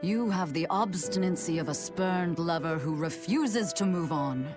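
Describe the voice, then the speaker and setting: A woman's voice: fairly low pitch, unhurried, over music, lightly acted. An actress playing an elderly, teasing woman scholar in a video game, musing theatrically over her words. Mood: wry, frustrated. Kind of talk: video game cutscene acting.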